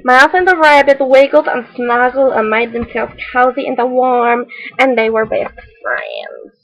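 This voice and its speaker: Woman putting on a high-voiced quirky storybook reader, mock foreign accent. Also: fairly even intonation, unhurried, fairly bright tone, poor audio. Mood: earnest, deadpan. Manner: exaggerated storytelling with odd, solemn asides. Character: Jo, quirky, earnest children's read-aloud hostess. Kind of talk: parody storybook read-aloud with deadpan asides.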